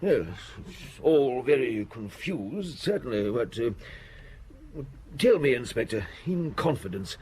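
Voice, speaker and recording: An actor playing a nervous, ingratiating stepson of a rich family in a radio drama. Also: male voice, medium pitch, very animated, takes it slowly, darkish tone, rough recording. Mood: defensive. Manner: eager, fussy, defensive.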